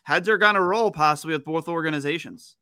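A man's voice: on the high side, fast talker, sharp tone, no room sound. A man co-hosting a hockey podcast in casual, chatty style. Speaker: podcast co-host.

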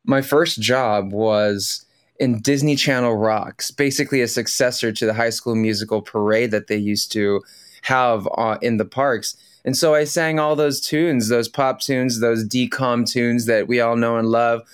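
A man from the Philippines whose first language is Tagalog talks as a podcast guest in a friendly, chatty way, often restarting his sentences. His voice is smooth and clear, at an ordinary pitch for a man. The recording is dry, with no room sound. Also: fairly even intonation, unhurried, dry recording. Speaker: podcast guest, Broadway performer. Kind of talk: casual interview.

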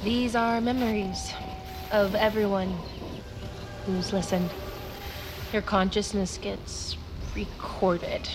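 A woman's voice: medium pitch, level tone, slow pace, long pauses, background noise, close to an everyday voice. An actress playing a young woman who guides someone through memories in an audio drama, confiding and explanatory. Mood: reassuring. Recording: music behind the voice.

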